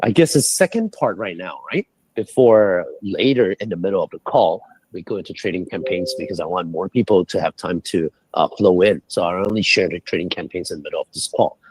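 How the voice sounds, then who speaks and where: Husky-voiced man, lively pitch. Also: brisk, rough recording. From Singapore. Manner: animated, explanatory, pitch jumping on numbers and key points. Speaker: guest, head of global strategy. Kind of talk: livestream interview talk. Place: indoors, calling in from abroad. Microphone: remote call.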